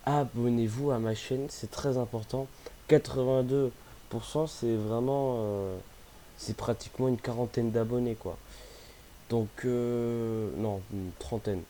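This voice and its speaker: A man's voice: medium pitch, fairly even intonation, a little slow, mellow, slight background noise. A young man with a cold talking casually about games, hesitant, with many fillers and restarts. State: has a cold, sniffly. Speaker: gaming youtuber. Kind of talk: casual vlog chat.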